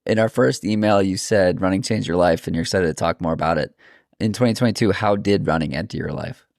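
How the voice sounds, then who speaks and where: A man's voice: low voice, fast, vocal fry, darkish tone, no echo, clean studio sound. A man hosting a podcast, interviewing his guest in a casual, conversational style.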